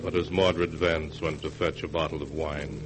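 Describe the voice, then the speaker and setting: An actor playing an ominous narrator of horror tales, speaking solemnly and dramatically in 1940s radio style. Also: male voice, extremely low pitch, fairly even intonation, moderate pace. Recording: old 1940s radio recording. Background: music.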